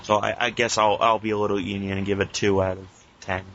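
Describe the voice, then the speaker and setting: A man's voice: fairly low pitch, level tone, quick pace, fairly bright tone, dull audio, a little hiss or hum. A man co-hosting a podcast with casual, sweary banter. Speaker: podcast co-host.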